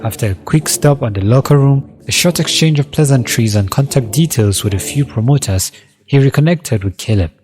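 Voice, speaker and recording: A man's voice: medium pitch, a little fast, darkish tone. Male narrator, dramatic storytelling over music.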